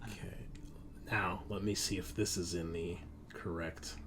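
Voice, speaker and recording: A man narrating a tabletop roleplaying game as game master in a halting, informal, explanatory way. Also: low-pitched, steady tone. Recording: clear background noise, room ambience.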